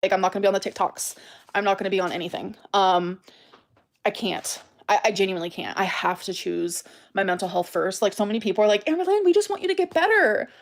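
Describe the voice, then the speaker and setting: A woman's voice: medium pitch, expressive, fast talker. A woman speaking casually to her followers in a personal update, emphatic and repeating herself for stress. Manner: emphatic, repetitive. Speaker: YouTuber.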